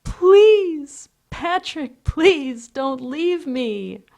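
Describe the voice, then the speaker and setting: A woman's voice: expressive, drawn-out words, creaky, a little mellow, full character voice. A woman voicing a desperate, clingy, lovesick man who pleads in a whiny, crying wail. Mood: anguished, pleading. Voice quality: high wailing, whiny.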